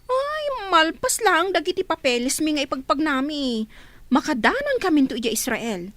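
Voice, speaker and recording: A woman playing an eager young Ilocano graduate in a radio drama. Her high voice swings freely up and down, recorded close and dry. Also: big pitch swings, normal speed, a bit bright, no room sound. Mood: earnest. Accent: Filipino, Ilocano. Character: young female graduate, eager to work abroad. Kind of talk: radio comedy drama acting.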